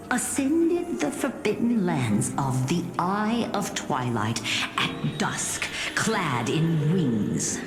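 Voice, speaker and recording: An aged grandmother recounts legends for a fantasy game with tender gravity. She speaks slowly and dramatically, weighing each phrase. Her voice rings with clear reverb over prominent music. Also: low voice, exaggerated voice. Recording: reverberant studio voice under music.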